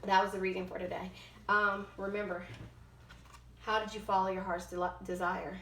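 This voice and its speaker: A woman giving an informal tarot and oracle card reading, partly read aloud, halting and often correcting herself as she goes. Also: mid-range voice, flat delivery, fairly bright tone, a little hiss or hum. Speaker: tarot card reader, host. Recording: roomy, echoing sound.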